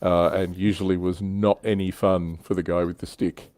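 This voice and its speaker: A man with a deep voice hosts a relaxed hobby panel. He talks conversationally, and the sound is dry with little room in it. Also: moderate pace, no room sound. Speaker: livestream host. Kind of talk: casual panel discussion of tabletop wargaming. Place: indoors, online livestream.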